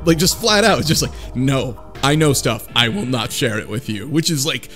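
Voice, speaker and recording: A man's voice: medium pitch, normal speed, faint music behind. A man in a casual group chat, recapping a story in a rambling way and acting out the dialogue as he goes. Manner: rambling, animated retelling with acted-out quotes. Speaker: podcast panelist.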